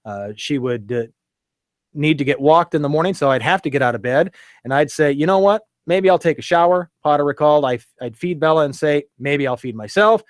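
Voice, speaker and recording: A man's voice: a little high, a little fast, no room sound, clean studio sound. A man hosting a local radio show, chatting casually and reading a story aloud with many fillers and restarts.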